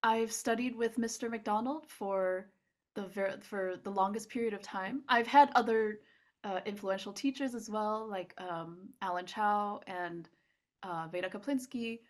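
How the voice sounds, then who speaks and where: A young woman from Singapore, a pianist being interviewed, talking in a hesitant, soft-spoken way with many pauses. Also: mid-range voice. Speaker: guest pianist. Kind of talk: casual interview chat.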